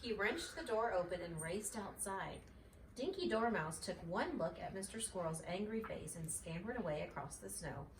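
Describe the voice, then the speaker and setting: A woman's voice: medium pitch, expressive, normal speed. A woman narrating a children's storybook aloud expressively, as a mother reads. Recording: roomy, distant mic, faint noise.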